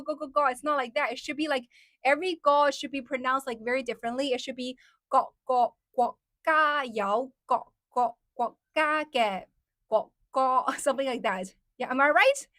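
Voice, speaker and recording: A woman from mainland China, speaking English, hosts a casual live stream and chats with her viewers. Her voice sits a little high. The sound is dry and close, with almost no room echo. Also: fairly bright tone. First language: Mandarin. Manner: chatty, laughs often, answers chat comments.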